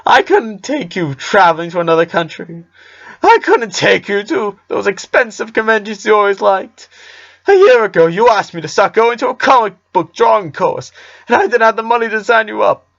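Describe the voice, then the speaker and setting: A man's voice: sits high, animated, normal speed, vocal fry, fairly bright tone, voice pushed up, exaggerated voice. A man voicing a tearful middle-aged father, voice cracking. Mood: anguished. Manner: pained, voice cracking, pleading. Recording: dull lo-fi recording.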